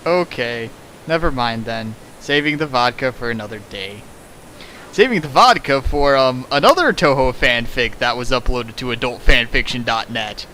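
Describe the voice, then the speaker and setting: Lively, bright-voiced sarcastic man. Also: medium pitch, normal speed, low-quality recording. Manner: sarcastic, mocking. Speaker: host of a fan fiction dramatic reading. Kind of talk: casual riffing commentary, reading text aloud.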